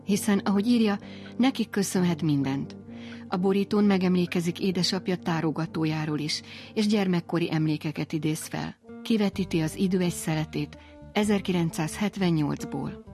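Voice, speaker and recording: Female radio host, lowish voice, scripted science narration with faint music behind. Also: darkish tone.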